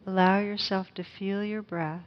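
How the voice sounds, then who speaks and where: A woman meditation teacher leading a guided loving-kindness meditation in a gentle, hushed, unhurried manner with long pauses. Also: mid-range voice, steady tone, lo-fi sound.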